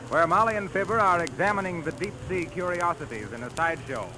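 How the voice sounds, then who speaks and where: Old time radio announcer guy, polished sales pitch, fairly high voice, clearly noisy vintage recording. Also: fairly quick. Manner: polished, persuasive salesman's delivery. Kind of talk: 1930s scripted radio commercial announcing.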